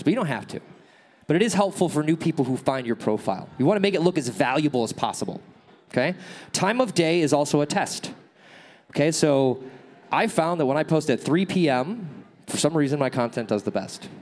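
A man with a nasal voice giving a live workshop talk, coaching the audience and checking in with them as he answers questions. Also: medium pitch. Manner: energetic, coaching, checks in with "okay?" and "right?". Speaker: workshop speaker. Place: event stage.

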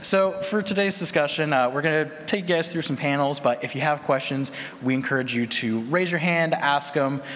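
A man's voice: on the high side, brisk, hardly any pauses, a bit bright. A man presenting as host of a conference panel, speaking to a live room. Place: large indoor conference session room. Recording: echoey hall, distant room noise.

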